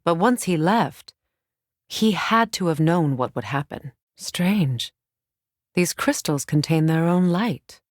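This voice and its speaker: An actress playing a solemn, regal Norse goddess warrior in a video game, speaking in a measured, grave, formal manner. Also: female voice, lowish voice, expressive, no echo, studio quality. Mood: watchful, measured. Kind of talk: dramatic video game voice acting.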